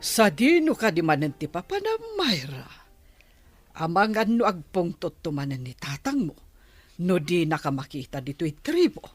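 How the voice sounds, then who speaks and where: Animated, anxious scolding mother. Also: fairly low pitch, normal speed, breathy edge, dry recording, soft background music. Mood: urgent warning. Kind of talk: radio drama acting in Ilocano.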